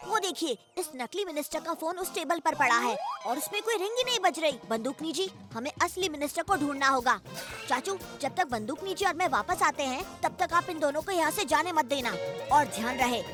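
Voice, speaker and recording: A bright-minded cartoon boy speaks in a high, youthful pitch. He is confident and emphatic, working things out like a little detective. Music plays clearly behind him. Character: clever, confident young boy detective, the nephew. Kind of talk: children's comedy cartoon dub. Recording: music under the voice.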